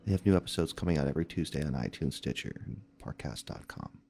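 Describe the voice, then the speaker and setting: A man's voice: rumbling low, animated, quick pace, creaky, a little mellow. A man reading scripted sponsor spots as a podcast announcer. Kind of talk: scripted ad read.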